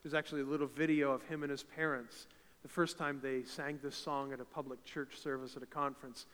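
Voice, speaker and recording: A man from eastern Canada with a big voice, preaching a fervent sermon in a church and building to loud, emphatic peaks. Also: mid-range voice, flat delivery, a little fast. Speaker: pastor. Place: church sanctuary. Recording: reverberant church hall.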